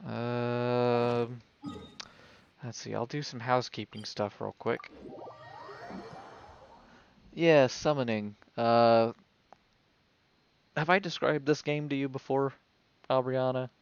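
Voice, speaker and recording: A man's voice: mid-range voice, steady tone, slow pace, creaky, long pauses, highs cut off, slight background noise. A man giving casual, offhand game commentary and chatting to viewers as he plays. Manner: offhand, talks to himself while playing. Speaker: video game livestreamer. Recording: game music behind the voice. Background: video game music.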